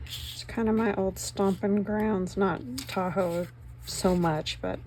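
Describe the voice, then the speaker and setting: A middle-aged woman with a slightly hoarse voice talks her viewers through a craft project in a rambling, self-mocking way. Paper rustles and clicks sound behind her, and the recording has a clear layer of background noise. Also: mid-range voice, slow pace, darkish tone, poor audio. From northern Nevada, US. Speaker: craft channel host. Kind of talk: chatty crafting commentary.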